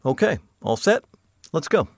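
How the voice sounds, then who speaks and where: A man's voice: on the high side, very animated, a little slow, creaky, no echo. A man delivering a scripted introduction as the host of a story podcast.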